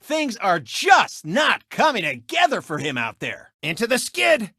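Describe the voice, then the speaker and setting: A smug, gloating race car with his own sports show in a cartoon video game. His voice is sharp and forceful, leaping up and down with showboat flair. It is a dry, close recording, and every phrase comes out cocky and mocking. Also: high-pitched, wildly swooping pitch, a little slow, breathy edge, dry recording, exaggerated voice. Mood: showy commentary. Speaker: racing show host. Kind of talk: animated video game character commentary and taunts.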